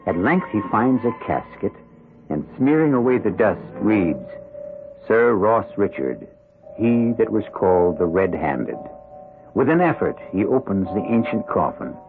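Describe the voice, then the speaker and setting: A man hosting and narrating an old-time radio horror drama in a measured, ominous storytelling manner. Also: fairly low pitch, sing-song. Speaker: narrator and host. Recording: dull old radio recording, music behind.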